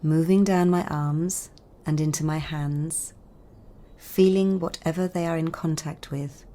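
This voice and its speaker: A woman with a low, dark and mellow voice guides a meditation. Her delivery is calm and soothing. She speaks in measured phrases with long pauses between them. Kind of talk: guided body scan meditation narration.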